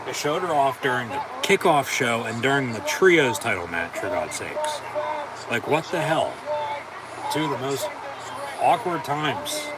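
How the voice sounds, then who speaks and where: A man's voice: medium pitch, huge pitch swings, very noisy. Rambling man calling wrestling, far from mic. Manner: rambling, profane, halting with fillers. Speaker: watch-along commentator. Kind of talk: casual play-by-play reaction.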